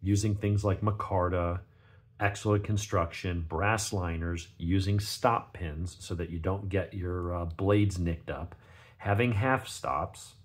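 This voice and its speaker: A man with a gravelly, sniffly voice from a cold, giving a casual unscripted product review in a rambling, conversational way. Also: sits low, takes it slowly. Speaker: knife reviewer, channel host.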